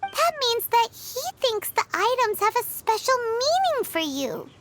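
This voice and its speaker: Tiny fairy companion, very high squeaky voice, sing-song swoops, chirpy, game music behind. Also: female voice, very animated, very noisy, exaggerated voice. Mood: reassuring. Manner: chirpy, quick reactions, curious questions. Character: tiny chatty floating female fairy companion. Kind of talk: game cutscene voice acting.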